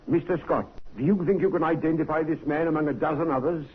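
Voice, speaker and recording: An actor playing a calm, dryly authoritative police inspector who questions a witness in an old radio drama. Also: male voice, on the high side, music in the background, close to an everyday voice. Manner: brisk, crisp, dryly authoritative. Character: calm, dry Scotland Yard police inspector. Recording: tinny vintage radio broadcast with hiss.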